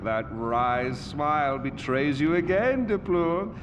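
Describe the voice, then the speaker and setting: An actor playing an urbane, sardonic villain in a video game, arch and smugly teasing. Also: male voice, a little high, slow pace, noisy background. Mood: amused, needling. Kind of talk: video game cutscene acting.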